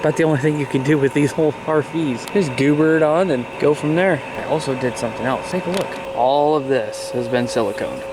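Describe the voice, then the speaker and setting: A man's voice: a little high, a little fast, hardly any pauses. A man giving a casual, folksy walk-around vlog to his viewers, talking informally into a handheld camera. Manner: informal, folksy, addresses viewers as "you guys". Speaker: YouTube vlogger. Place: backyard shed and outdoors on an RV. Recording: loud motor and air-conditioner noise behind voice.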